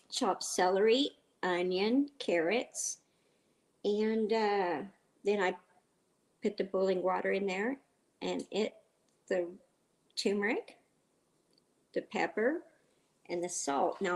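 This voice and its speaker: A middle-aged woman hosts a cooking livestream, her voice raspy and a little congested from a cold. She talks at an unhurried, drawn-out pace and rambles warmly from one thought to the next, reading viewer comments aloud as she goes. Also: mid-range voice. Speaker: livestream host. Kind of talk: casual cooking livestream chat.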